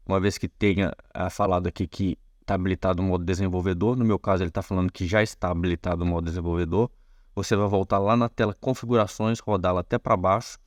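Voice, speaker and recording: A man's voice: deep voice, no echo, clean studio sound. A man with a Brazilian accent hosting a casual step-by-step tech tutorial, explaining informally and speaking directly to viewers.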